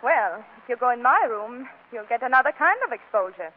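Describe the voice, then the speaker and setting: A woman's voice: on the high side, expressive, brisk. A woman playing a spirited, exasperated young wife in a 1940s radio comedy, emphatic and easily flustered. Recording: tinny, band-limited old radio recording.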